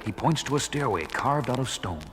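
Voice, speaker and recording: A man's voice: mid-range voice, expressive, brisk, slight background noise, lightly acted. A man narrating a 1970s children's adventure record in a theatrical, urgent storytelling style.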